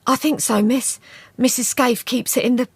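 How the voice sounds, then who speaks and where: A woman's voice: on the high side, steady tone, normal speed, slightly rough, dry recording, pitched above the performer's own voice. A woman voicing a shy, nervous young housemaid who speaks deferentially. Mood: eager. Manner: timid, deferential, soft.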